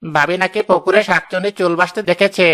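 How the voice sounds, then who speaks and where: A man voicing an excitable, concerned village man in a cartoon. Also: high voice, fast. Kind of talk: cartoon voice acting.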